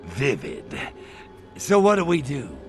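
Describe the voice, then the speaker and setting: Cocky, sneering alien invader, swooping pitch. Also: male voice, huge pitch swings, slow, gravelly, soft background music, exaggerated voice. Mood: sarcastic, grumbling. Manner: sarcastic, brash wisecracking. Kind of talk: video game cutscene voice acting.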